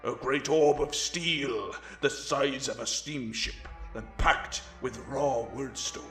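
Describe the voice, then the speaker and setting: A man voicing a grave eyewitness in a fantasy story, gravelly, giving an ominous and measured account. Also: mid-range voice, a bit bright, far from the mic, faint music behind. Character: grave eyewitness recounting a doomsday weapon. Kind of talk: fantasy audiobook character acting. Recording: echoey, off the mic.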